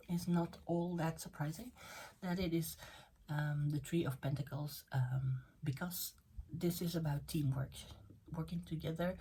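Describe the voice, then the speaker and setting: Slow, husky-voiced woman with Dutch roots. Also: lowish voice, warm tone, poor audio. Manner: halting, many fillers and restarts. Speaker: tarot reader. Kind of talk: spoken tarot reading video.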